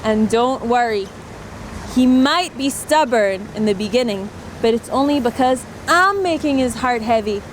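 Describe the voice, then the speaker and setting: A woman voicing God, who speaks slowly, steadily and firmly in a children's Bible story. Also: fairly high pitch, expressive, slight background noise. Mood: reassuring. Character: calm reassuring God.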